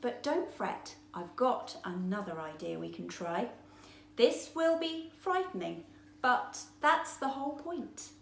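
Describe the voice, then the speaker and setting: A woman's voice: a little high, animated, takes it slowly, creaky, a bit bright, a little hiss or hum, heavily acted. A woman voicing a tiny, eager fish who talks in a cheerful, encouraging way. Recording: roomy echo.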